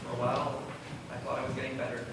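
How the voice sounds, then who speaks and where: A man's voice: mid-range voice, completely flat delivery, quick pace, lightly acted. A young male student actor playing a grieving, guarded teenage boy in a school stage play. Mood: weary, grieving. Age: teenager or young adult. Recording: echoey noisy stage, distant mic.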